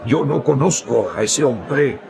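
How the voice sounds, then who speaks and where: A man playing a frightened man who slowly and defensively denies knowing someone. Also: mid-range voice, lively intonation, vocal fry, background noise. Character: frightened man denying a friend.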